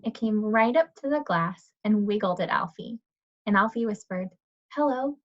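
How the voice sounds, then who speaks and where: A woman's voice: mid-range voice, animated, moderate pace. Woman reading a picture book, muffled audio. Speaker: teacher reading aloud to children. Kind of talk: children's picture book read-aloud. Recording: dull lo-fi audio.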